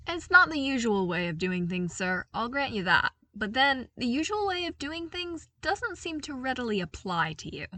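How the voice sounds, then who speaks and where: A woman voicing a calm, respectful male military commander in a story, measured and gentle. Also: high-pitched, no echo, far from the mic, pitched above the performer's own voice, heavily acted.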